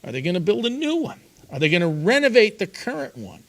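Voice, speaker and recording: A man's voice: high-pitched, big pitch swings, fast talker, a bit bright. A man hosting a sports talk show, upbeat and emphatic, punching his points. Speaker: host.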